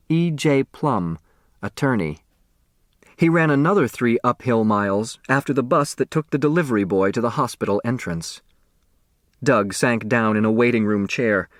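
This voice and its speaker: Male narrator for fiction: expressive storytelling, crisp phrasing, very dry sound. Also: mid-range voice, no room sound. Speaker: audiobook narrator.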